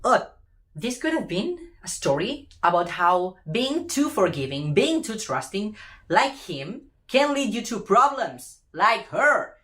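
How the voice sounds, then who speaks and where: A woman's voice: medium pitch, big pitch swings, slow, a bit bright. A woman delivering an impassioned opinion rant in an emphatic, exasperated way, repeating phrases for effect and swearing casually. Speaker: fan commentator.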